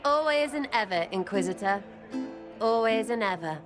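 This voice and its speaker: A woman's voice: mid-range voice, animated, normal speed, music in the background, lightly acted. Brash young female rogue for a fantasy game, played by a woman: cheeky, slangy, blunt, loyal. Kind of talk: video game dialogue acting.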